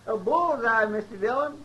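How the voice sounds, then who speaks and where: Very high-pitched eager western sidekick. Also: male voice, heavily acted. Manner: excitable, obliging. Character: eager, folksy male deputy sidekick, gleeful and obliging. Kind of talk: 1950s radio western acting. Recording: muffled 1950s radio recording.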